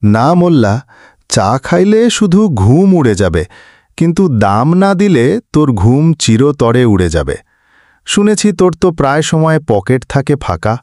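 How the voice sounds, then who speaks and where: Cartoon djinn tea seller, male actor: boastful and menacing, taunting delivery, very animated pitch, dry studio sound. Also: moderate pace, dry recording, lightly acted. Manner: theatrical, taunting.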